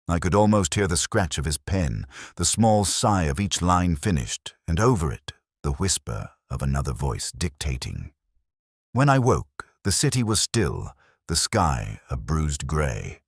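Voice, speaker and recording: A man reading scripted first-person detective fiction in a measured, literary, brooding manner. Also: very deep voice, breathy edge, dry recording, studio quality. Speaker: narrator.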